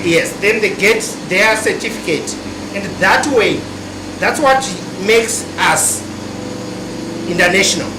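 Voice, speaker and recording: A woman guide giving an earnest, explanatory, somewhat halting account during a tour. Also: medium pitch. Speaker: guide explaining lab accreditation. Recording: echoey room with background noise.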